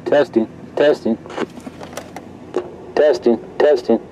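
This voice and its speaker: A man giving a casual hands-on tutorial, talking haltingly as if thinking aloud. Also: mid-range voice, highs cut off. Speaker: host of a beginner radio tutorial. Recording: loud steady hum and hiss.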